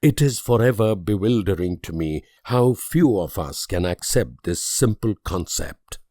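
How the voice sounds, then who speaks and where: An actor playing a grave, idealistic and world-weary political leader in a strategy game, speaking in measured, solemn oratory with dramatic pauses. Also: male voice, lowish voice, lively intonation, warm tone, no room sound, studio quality. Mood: grave, reproachful.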